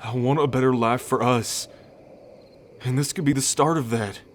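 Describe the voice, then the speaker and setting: A man playing a wary, wounded half-blood bull man in an ASMR roleplay, speaking in a halting, guarded way. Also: medium pitch, steady tone, a little hiss or hum, lightly acted. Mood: shaken, torn. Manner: halting, guarded, hesitant delivery. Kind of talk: ASMR audio roleplay acting.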